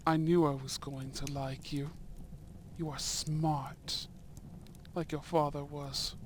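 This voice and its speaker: A man playing a young, courteous and shrewd Edwardian viscount in a romantic audio roleplay, with formal, deliberate phrasing and soft murmurs. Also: a little high, little pitch movement, slight background noise. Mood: measured, amused.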